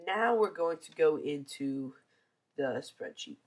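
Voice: mid-range voice, lively intonation, slow pace. A teenager with a stuffy, congested nose from a cold gives a casual, hesitant screencast review, full of ums, over a computer mic. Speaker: software reviewer.

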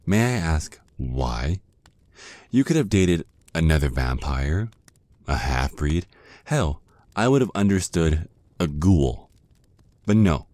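Man acting very deep, taunting vampire ex-boyfriend. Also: a little mellow, dry recording, exaggerated voice. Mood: taunting, playful, then bitter, jealous. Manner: slow, teasing, drawn-out menacing murmur. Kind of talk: ASMR roleplay.

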